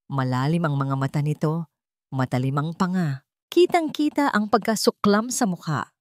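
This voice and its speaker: A woman tells a scripted romance drama as its narrator. Her voice sits a little low for a woman. The sound is very dry, with no room around it. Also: lively intonation, moderate pace, dry recording. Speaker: storyteller.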